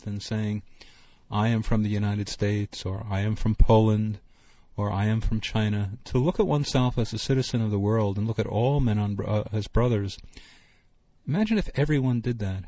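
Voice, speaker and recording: Earnest low-voiced man hosting a panel. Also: warm tone, dry recording. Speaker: host. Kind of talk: discussion panel moderator. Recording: dull lo-fi broadcast recording.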